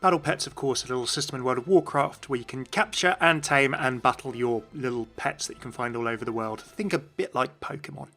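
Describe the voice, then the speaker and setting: A man's voice: medium pitch, brisk, few pauses, bright, forceful tone. A man hosting an explanatory video about game lore, with music behind him.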